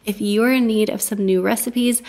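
A woman's voice: medium pitch, fairly even intonation, brisk, a little mellow. A young woman vlogging about cleaning her home, chatting with viewers in an eager, direct way. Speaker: homemaking vlogger. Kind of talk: upbeat cleaning vlog talk.

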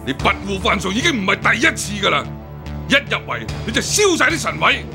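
An actor with a Hong Kong Cantonese accent playing a domineering, scornful older official who scolds others in a radio drama. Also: male voice, high voice, very animated, fairly quick, creaky, music in the background, full character voice. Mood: imperious, angry. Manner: imperious, scolding.

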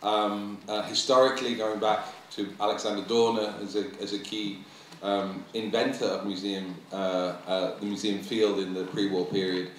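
A middle-aged man with a low voice introduces the next speaker, stumbling over fillers as he goes. He sits at a table mic in a large hall that rings with reverb, his voice heard from a little way off. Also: flat delivery, slight background noise. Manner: halting, heavy with ums and uhs. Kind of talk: symposium introduction. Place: large museum auditorium. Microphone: stand mic at table. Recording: very echoey hall, mic from a distance.

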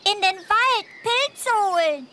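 Tiny boy tiger, squeaky and very high, slow-spoken, playful, children's audio play. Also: lively intonation, slight background noise, exaggerated voice. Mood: eager. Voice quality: squeaky, bright. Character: small childlike male tiger, playful, excuse-making.

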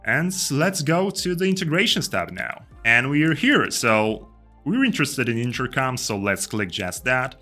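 A young man giving a casual step-by-step software tutorial, speaking into a close desk microphone indoors. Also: medium pitch, sing-song, normal speed. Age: young adult. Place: indoor room. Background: music.

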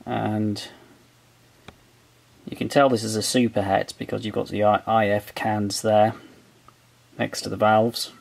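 A man with a low, gravelly voice talks through the controls of an old device at his workbench. He explains each part step by step in a relaxed way, thinking aloud as he goes. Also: unhurried, long pauses, rough recording. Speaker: electronics restorer. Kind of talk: hands-on show-and-tell. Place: workbench indoors.

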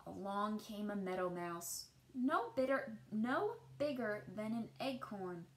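A woman in her thirties reads a picture book aloud to children like a teacher, with deliberate, expressive emphasis on key words. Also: mid-range voice.

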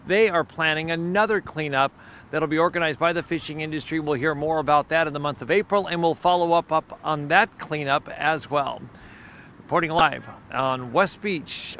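An older man giving a brisk, emphatic live news report from a beach. His voice is bright and fairly high, with faint outdoor noise behind him. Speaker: field reporter. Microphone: handheld mic.

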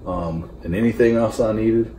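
A man in his thirties, a guest in a home kitchen, calmly talking through survival gear in a practical way with many fillers. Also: lowish voice, normal speed. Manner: steady, practical, hesitant with fillers. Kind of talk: casual explanatory chat. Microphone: far camera. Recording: roomy kitchen sound from a distant camera, faint hum.

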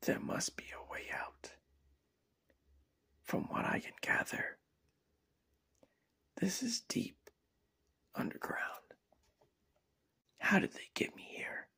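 A man performs as a captured reporter, scared and hurting, in a horror audio drama. He whispers very slowly and haltingly, with an extremely husky, rasping breathiness. His delivery is melodramatic and fearful, swinging wildly in pitch. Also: pushed and bright, exaggerated voice. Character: frightened, captured male reporter, weakened and in pain.

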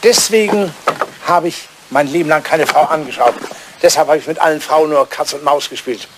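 Actor as wistful old lord, highish voice. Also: male voice, normal speed, slight background noise, natural voice. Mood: pleading, bitter. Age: older. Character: wistful old English lord, lonely lovelorn bachelor writer. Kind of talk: German radio drama acting, drawing-room dialogue.

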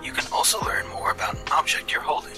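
A man voicing a guide robot in a language-learning game, calm and instructive, with a whispery, breathy delivery over game music. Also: quick pace, close to an everyday voice. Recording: game audio with background music.

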